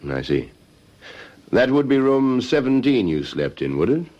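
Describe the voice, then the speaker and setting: An actor playing a dogged, suspicious police sergeant in an old radio detective drama, measured and politely insistent. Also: male voice, mid-range voice, big pitch swings, warm tone. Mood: probing, dry. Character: dogged, calmly suspicious male police sergeant questioning a suspect. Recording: slightly dull vintage radio recording.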